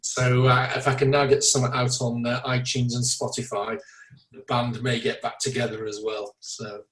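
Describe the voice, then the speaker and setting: A man's voice: medium pitch, little pitch movement, normal speed, a bit bright. A man co-hosting a startup podcast, chatting with wry, self-deprecating humour. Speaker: co-host, startup founder. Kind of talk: casual podcast chat. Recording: echoey room, distant mic.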